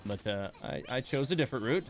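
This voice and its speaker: A man's voice: mid-range voice, expressive, brisk, vocal fry, slight background noise. A man giving casual live game commentary, thinking aloud in broken, hesitant phrases. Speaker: game livestream host.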